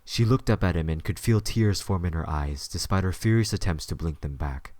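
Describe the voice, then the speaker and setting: A man's voice: deep voice, brisk, a little mellow, dry recording. A man narrating a scripted story as the narrator of an audio drama.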